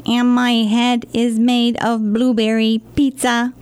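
Storybook monkey, silly and boastful, squeaky high voice, put on by a woman. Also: flat delivery, slow pace, darkish tone, clean tone. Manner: squeaky, bouncy, exaggerated.

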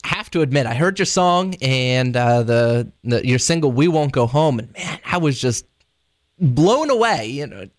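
A man's voice: mid-range voice, lively intonation, normal speed. A man hosting an interview, talking casually and rambling with many hesitations and chuckles. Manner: rambling, hesitant, laughing asides. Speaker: radio interviewer.

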